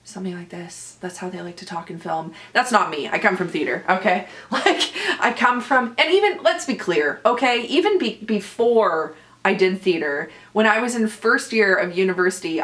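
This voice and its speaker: A young woman hosting her own podcast, an actor with a loud, boomy voice, chatting casually and animatedly, laughing often. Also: lively intonation, normal speed, few pauses. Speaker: podcast host. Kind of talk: casual solo podcast chat.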